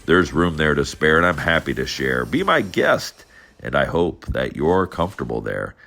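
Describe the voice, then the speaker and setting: Man doing a big gentle moose, kind and mild, very deep voice. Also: sing-song, normal speed, creaky, exaggerated voice. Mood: good-natured. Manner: good-natured, mild.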